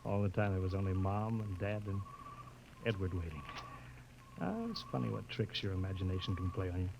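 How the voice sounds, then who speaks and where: An actor playing a hearty, trusting spaceship captain back home, casual and boyish in a 1950s radio drama. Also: male voice, low voice, steady tone, brisk, gravelly. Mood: musing, skeptical. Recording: old radio recording with faint noise.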